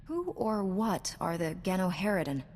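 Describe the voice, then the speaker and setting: Earnest young Jedi heroine, heavy reverb. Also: female voice, fairly low pitch, very animated, normal speed. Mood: earnest, supportive. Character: loyal, caring female Jedi knight, young adult hero. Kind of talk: scripted video game dialogue. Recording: long reverb, clear background noise.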